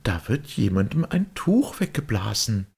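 A man voicing a young, gentle and polite storybook girl with a wondering manner. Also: lowish voice, sing-song, unhurried, creaky, slightly rough, pitched above the performer's own voice, exaggerated voice. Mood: wondering, uneasy.

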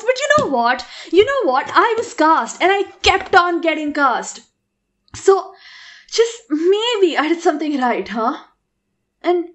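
A woman plays a young stage actress in a radio drama, with a very high voice. She sounds insecure and defensive, protesting in a flustered, halting way. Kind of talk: radio play acting.

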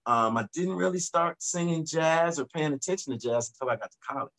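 A middle-aged man, a singer guest, talking in a relaxed, reflective way about his background on a video call from his home studio. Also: a little high, fairly quick. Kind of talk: casual video-call interview.

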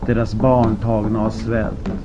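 A man narrating an audiobook from a script, in deliberate phrases with dramatic pauses. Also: on the low side, little pitch movement. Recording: muffled lo-fi with clear background noise.

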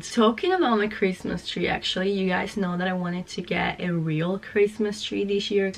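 A young woman chatting casually to camera in her flat while recovering from a cold, hoarse and gushing. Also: fairly low pitch. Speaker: vlogger. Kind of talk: casual vlog chat. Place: small flat, indoors.

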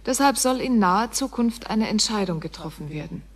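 A woman's voice: medium pitch, animated, brisk, lightly acted. An actress playing an earnest, reproachful woman voicing a letter to a friend in a radio drama. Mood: grave. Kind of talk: radio drama acting, reading a letter aloud.

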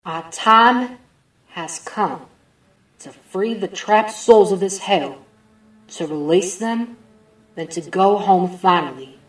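A woman voicing a resolute, solemn cartoon angel leader in a comic dub, measured and dramatic. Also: medium pitch, echoey room, far from the mic, faint music behind, lightly acted, low-quality recording. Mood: determined.

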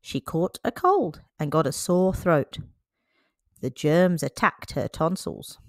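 A woman reading a children's picture book aloud, slow, clear and expressive. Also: deep voice, dry recording, studio quality.

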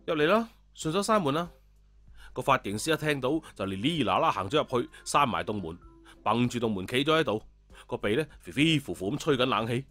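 A man narrating a scripted story in an animated storytelling style. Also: mid-range voice, fairly quick, no room sound. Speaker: audiobook narrator. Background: background music.

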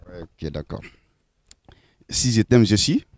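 A young man interviewing people in the street, teasing them with quick-fire questions, recorded on a handheld mic outdoors in a city square. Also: fairly low pitch, big pitch swings, darkish tone, dry recording.

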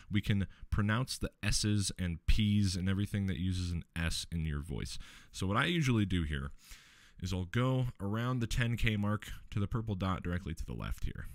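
A man with a deep, low voice walking viewers through a software tutorial step by step, relaxed, with the odd filler. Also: dry recording, studio quality. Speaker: tech tutorial host. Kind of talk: screen-recorded software tutorial.

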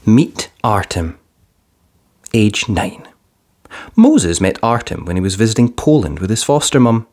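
A man reading a children's story aloud, deliberate and expressive. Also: on the low side. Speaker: storybook reader. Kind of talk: children's read-aloud narration.

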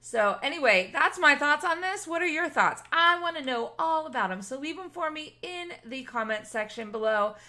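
Casual gaming host, female, fairly high and very bright voice, expressive and gushing, chatting straight to viewers. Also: normal speed, hardly any pauses. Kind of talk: casual gameplay commentary to viewers.

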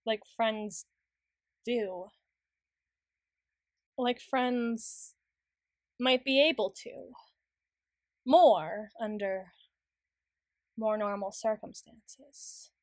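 A woman playing a flustered, prim, studious young tsundere in an ASMR roleplay, speaking in halting, stammering, formal sentences. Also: fairly high pitch, a little mellow, dry recording, clean studio sound. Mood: hesitant, tender. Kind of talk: ASMR roleplay, acted monologue.

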